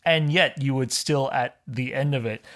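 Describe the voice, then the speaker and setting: A man from Hong Kong, a podcast guest in casual conversation, explaining things in a measured way. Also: mid-range voice, a bit bright.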